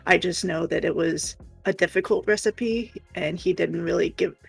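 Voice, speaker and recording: Woman livestream co-host, creaky voice, casual and rambling, thinking aloud over background music. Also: lowish voice, moderate pace, no echo.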